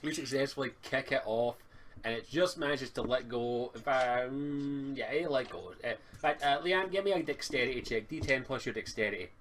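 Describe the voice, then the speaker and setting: A man improvising narration as a tabletop game master, speaking casually and haltingly, sometimes swearing, on an amateur online recording. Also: mid-range voice, a bit bright, slight background noise.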